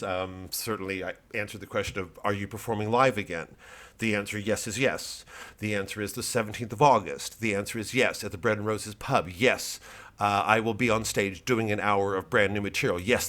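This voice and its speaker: A man with a fairly low voice delivers a loose, rambling comedic monologue, as if chatting to followers on a vlog. He is a comedian who keeps a deadpan manner while plugging his own work. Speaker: comedian promoting his live show.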